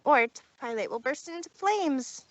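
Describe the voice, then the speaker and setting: A woman voicing a proud, self-important female pony in a fan fiction read-aloud, speaking deliberately and indignantly. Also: high-pitched, animated, dry recording, dull audio. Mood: resolute.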